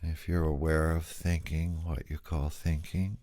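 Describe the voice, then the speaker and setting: A man leading a guided meditation, speaking calmly and gently, asking soft questions with long pauses. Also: very deep voice, no echo.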